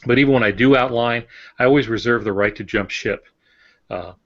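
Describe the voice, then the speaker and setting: A man, a novelist, talking casually on a podcast in a measured, explanatory way. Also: medium pitch. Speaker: thriller novelist, podcast co-host. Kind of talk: casual podcast discussion of writing. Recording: dull, lo-fi podcast audio.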